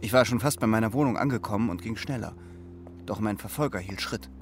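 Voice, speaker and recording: A man's voice: fairly low pitch, fast talker, noisy background, natural voice. An actor playing an uneasy Cold War double agent who tells his own story in a crime radio play. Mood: brooding, tense. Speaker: actor, narrator and lead role. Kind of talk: first-person crime radio play narration.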